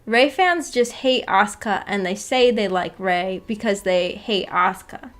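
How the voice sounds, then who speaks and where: A woman hosting a casual livestream, chatting with viewers in a giggly, playfully teasing and apologetic way, rambling and halting as she goes. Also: medium pitch. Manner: rambling, halting, filler-heavy talk with frequent "like". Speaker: livestream host. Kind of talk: casual livestream chat with viewers about anime.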